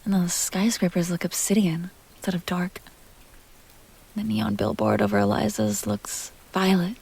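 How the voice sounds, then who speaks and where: A woman performs as an immortal vampire heiress in an intimate ASMR roleplay. Her voice is husky and breathy, often sinking into a whisper with a crackle of fry. She is unhurried and coaxing, by turns teasing, tender and wistful. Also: medium pitch, steady tone, a little mellow. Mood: musing, sultry.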